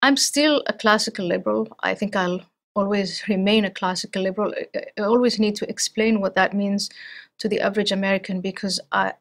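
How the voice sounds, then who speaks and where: A middle-aged woman from Somalia, speaking as an interview guest in a thoughtful, measured way with frequent restarts. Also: mid-range voice, steady tone, creaky. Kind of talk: interview conversation.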